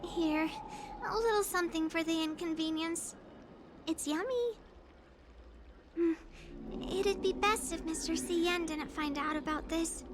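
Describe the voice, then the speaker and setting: A woman's voice: extremely high pitch, measured pace, noisy background. A woman voicing a sweet, earnest and apologetic young shop clerk in a video game.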